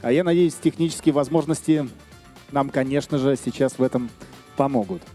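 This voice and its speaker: A man hosts an event in a notably high voice, announcing the next speaker with formal phrasing. He hesitates often, stretching his fillers. Faint music plays behind him. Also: a little fast, vocal fry, warm tone. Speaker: event host.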